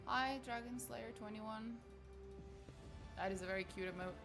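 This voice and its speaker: A woman's voice: a little high, normal speed, over music. Chatty woman streaming a game. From Portugal. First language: Portuguese. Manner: chatty, swears casually, talks to chat. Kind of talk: casual gaming livestream chat.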